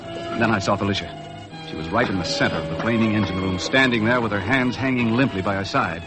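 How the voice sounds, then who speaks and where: A man's voice: lowish voice, brisk, close to an everyday voice. An actor playing a rugged, commanding boat skipper who tells his sea adventure tersely in 1950s radio style. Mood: tense narrating. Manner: terse, dramatic storytelling. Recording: 1950s radio drama with loud music.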